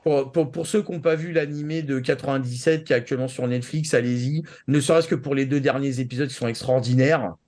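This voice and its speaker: Mid-pitched Frenchman in casual chat. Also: fairly even intonation, fairly quick, few pauses, darkish tone. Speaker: show co-host. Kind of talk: casual talk-show chat about anime.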